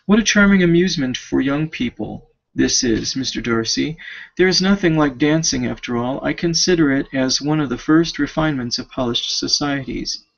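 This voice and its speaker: A man reading the part of an affable, chatty English gentleman in a novel, with formal, courtly, wordy phrasing. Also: medium pitch, moderate pace, gravelly. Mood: genial. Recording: dull lo-fi home recording.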